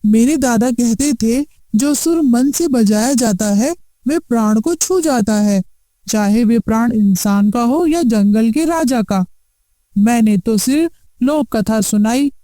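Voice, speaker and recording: A woman voicing a wise, cautious old village grandfather in a cartoon moral story, with a measured, advising manner. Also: fairly high pitch, mellow, no echo. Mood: calm, cautioning. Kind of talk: Hindi animated moral story acting.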